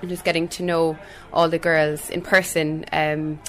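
A young woman with a Cork Irish accent speaks in casual interview chat. Her voice is slightly low and stays quite level in pitch. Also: flat delivery, unhurried, fairly bright tone, faint music behind, rough recording. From Cork, Ireland. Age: 20s. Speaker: pageant contestant, interviewee.

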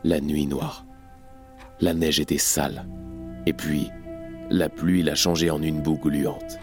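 An actor playing a somber, embittered revolutionary in an audio drama, speaking in a terse, grave way. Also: male voice, a bass voice, level tone, slow pace. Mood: reluctant, grim. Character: somber, embittered revolutionary man recounting an execution. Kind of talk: audio drama acting in French. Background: music.

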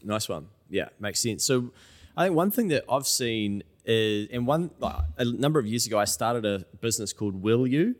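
A man's voice: medium pitch, expressive, moderate pace. A man in his thirties with a New Zealand accent, hosting a casual chat in an informal, anecdotal, self-deprecating way. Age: 30s. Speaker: interview host.

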